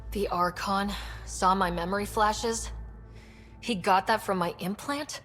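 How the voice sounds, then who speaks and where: A woman's voice: medium pitch, steady tone, unhurried, music in the background, lightly acted. A woman playing an earnest, resolute young space explorer in a video game. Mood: grave, urgent.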